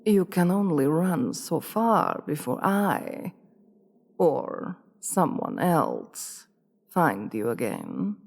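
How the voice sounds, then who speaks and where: Woman playing a slow, imperious vampire queen. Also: low-pitched, wildly swooping pitch, vocal fry, darkish tone, heavily acted. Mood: menacing, mocking. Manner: commanding, teasing, condescending, deliberate drawn-out delivery. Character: imperious, seductive vampire queen toying with a human captive. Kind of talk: ASMR roleplay acting. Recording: long reverb with music behind.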